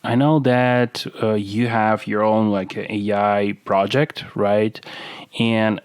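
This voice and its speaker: A man's voice: fairly low pitch, little pitch movement, slow pace, smooth, no room sound, clean studio sound. A male podcast host asking casual interview questions, hesitant, repeating words with many fillers.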